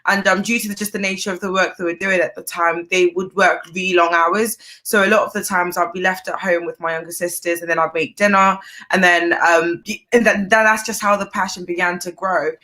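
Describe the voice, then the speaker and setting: A young woman chef from Zimbabwe, chatting casually as an interview guest, warm and quick to laugh. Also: on the low side, fairly even intonation. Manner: chatty, laughs easily, uses fillers.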